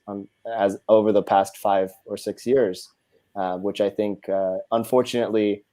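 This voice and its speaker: A man moderating a panel discussion, speaking in a measured way with frequent filler words. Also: on the low side, warm tone.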